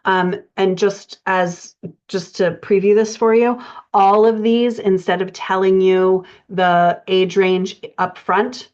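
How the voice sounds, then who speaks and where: A woman coaching writers in an explanatory lecture style, speaking haltingly with pauses as she thinks through her points. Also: mid-range voice, steady tone, a little mellow. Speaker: writing coach presenting a podcast lesson. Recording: dull, band-limited audio.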